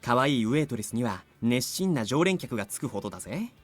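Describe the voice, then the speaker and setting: A man's voice: mid-range voice, very animated, very fast, soft background music. A man voicing a brash, cheeky young male customer in a visual novel, with a theatrical, cocky delivery. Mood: cocky, playful. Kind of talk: Japanese visual novel voice acting.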